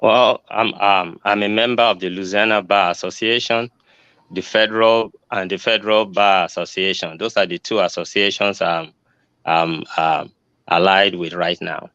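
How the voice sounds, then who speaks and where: Older man, hesitant, low voice. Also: fairly even intonation, moderate pace, pushed and bright, clear, resonant tone, no echo. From Nigeria. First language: Yoruba. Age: 60s. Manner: hesitant, many ums and restarts. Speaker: judicial candidate. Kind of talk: answering forum questions. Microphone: video call. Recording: dull lo-fi video call audio.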